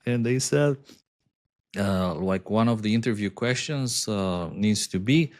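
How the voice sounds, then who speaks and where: A man's voice: lowish voice, unhurried, a little mellow. A man co-hosting a podcast, telling anecdotes in a hesitant way. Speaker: podcast co-host. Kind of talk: casual chat.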